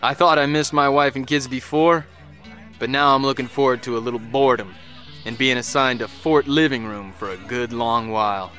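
Male actor, soldier, weary family man, game cutscene narration, sharp tone, backing music. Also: medium pitch, fairly even intonation, moderate pace, close to an everyday voice. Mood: wistful. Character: weary American male soldier, husband and father, recounting combat. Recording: music laid behind the voice.

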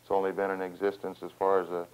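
An American man in his thirties giving a formal interview in a measured, halting, roundabout way. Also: low voice, completely flat delivery, clear, resonant tone. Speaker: farm organization representative and cattle rancher. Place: small wood-panelled room, seated at a table. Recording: dull 16mm film soundtrack.

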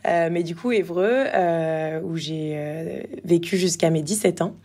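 A woman in her twenties speaks as a guest in a casual interview. Her voice is soft-edged, mellow and a little low, and she pauses hesitantly as she thinks. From Normandy, France. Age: 20s. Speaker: podcast guest, former athlete and entrepreneur.

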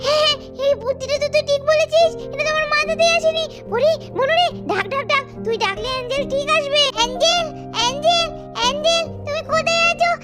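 A woman's voice: very high voice, quick pace, pitched above the performer's own voice. A woman voicing a chatty young girl in a doll story, childish and sing-song. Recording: loud children's music behind.

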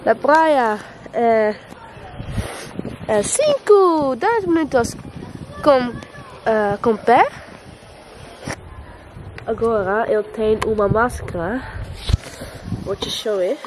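Young woman speaking in slow, halting phrases. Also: fairly high pitch, lively intonation, noisy background. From Netherlands. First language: Dutch. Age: young adult. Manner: halting, searching for words, slips into English. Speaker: vlogger. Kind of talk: casual travel vlog. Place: outdoors and indoors, apartment and streets.

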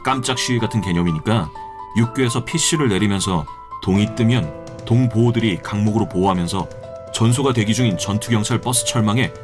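An actor playing a calm, confident male student protest leader who briefs his juniors in a brisk, commanding way. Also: fairly low pitch, natural voice. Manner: brisk and commanding, plans like a field officer. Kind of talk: audio drama acting.

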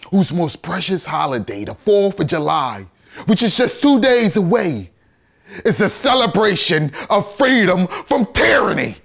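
A male actor performing a theatrical monologue as a bitter, righteous condemned rebel, in an accusatory, oratorical manner that swings between hushed and thundering. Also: fairly high pitch, gravelly, heavily acted. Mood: thundering outrage.

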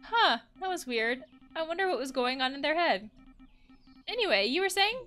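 A woman's voice: sits high, big pitch swings, moderate pace, fairly bright tone, faint music behind, pitched above the performer's own voice. A woman voicing a kind, hesitant human character in a visual novel game, polite and awkward. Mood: puzzled.

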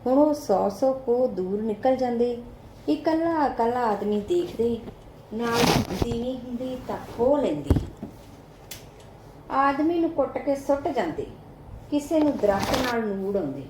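A woman's voice: on the high side, lively intonation, measured pace. A woman with an Indian Punjabi accent narrating a short story from a script, as in an audiobook. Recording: roomy echo, faint background noise.

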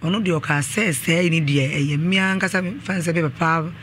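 A woman's voice: deep voice, moderate pace, fairly bright tone, slight background noise. A middle-aged woman giving a personal account as a guest in a radio studio interview. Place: small indoor radio studio. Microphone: desk microphone, close.

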